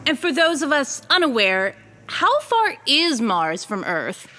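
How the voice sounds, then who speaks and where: A woman plays an inquisitive field reporter in a radio drama. Her high, bright voice is very lively in pitch, with a polished, perky, brisk broadcast delivery. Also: full character voice. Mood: probing. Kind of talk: radio drama newscast acting.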